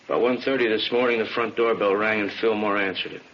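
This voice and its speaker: A man's voice: lowish voice, steady tone, quick pace, lightly acted. A man playing a no-nonsense police captain in an old radio drama, giving a briefing in clipped, factual phrases. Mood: grim, factual. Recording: dull, faintly noisy old radio recording.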